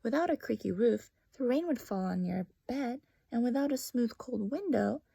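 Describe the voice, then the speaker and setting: A woman voicing the Dark from a storybook, a calm, mysterious, gently beckoning spirit, breathy, deliberate and coaxing. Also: a little high, dry recording, clean studio sound, pitched above the performer's own voice, full character voice.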